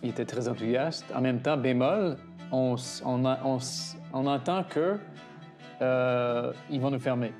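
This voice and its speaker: A middle-aged man from Toronto whose first language is English, speaking French with an English-Canadian accent as an interview guest, often halting and restarting. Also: medium pitch, darkish tone, faint music behind. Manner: hesitant, halting, frequent restarts. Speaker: garden director, interview guest. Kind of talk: casual interview conversation.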